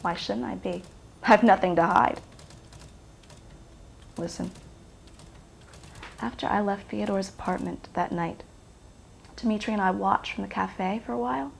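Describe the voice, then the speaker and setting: Blunt, defiant woman with a gravelly edge. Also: medium pitch, measured pace, pauses often. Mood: blunt, unapologetic. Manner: blunt, sardonic, challenging. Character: defiant, bitter ex-girlfriend questioned by police. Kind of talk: naturalistic film acting. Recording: faint background noise.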